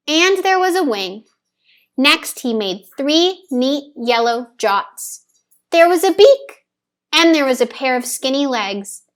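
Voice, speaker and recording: A woman reading a children's picture book aloud with deliberate, careful phrasing for young listeners. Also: fairly high pitch, fairly bright tone. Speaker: storybook reader. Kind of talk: children's story read-aloud, scripted narration.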